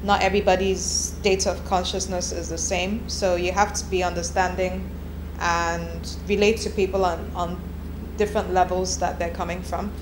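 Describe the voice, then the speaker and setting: A woman in her thirties, from Nigeria, answering interview questions in a candid, chatty way with restarts. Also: fairly low pitch. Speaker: copywriter, interviewee. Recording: echoey room with background noise.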